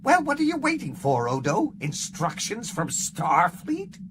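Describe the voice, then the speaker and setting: A man voicing a greedy, excitable male Ferengi barkeep in an audiobook, with a high, nasal, whiny voice. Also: unhurried, background noise, exaggerated voice. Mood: exasperated. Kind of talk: audiobook character reading.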